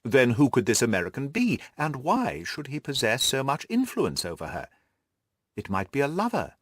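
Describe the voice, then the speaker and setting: Audiobook gentleman detective, played by a male reader: assured, methodical, very animated pitch movement, close dry sound. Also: moderate pace, no echo, full character voice. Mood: analytical, assured. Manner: precise, methodical, didactic explaining. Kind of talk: audiobook character dialogue.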